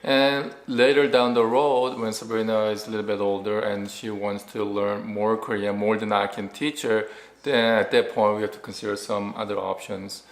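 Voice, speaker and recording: A man's voice: fairly low pitch, level tone, moderate pace. A man co-hosting a family vlog, talking casually and conversationally as a father. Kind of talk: casual couple chat with sponsor pitch. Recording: roomy echo, faint background noise.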